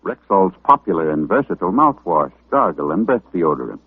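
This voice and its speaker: An actor playing a dependable family druggist, reading a scripted old radio commercial. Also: male voice, low-pitched, very animated, fairly quick, husky, dry recording, full character voice. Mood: earnest selling. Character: dependable, folksy male family druggist pitchman. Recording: muffled dry old radio recording.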